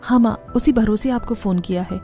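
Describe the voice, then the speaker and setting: An earnest young village mother, played by a woman, resourceful and weary, for a cartoon story. The voice is mellow and middle-pitched, with music playing behind it. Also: normal speed, lightly acted. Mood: hesitant. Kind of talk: Hindi cartoon story dialogue.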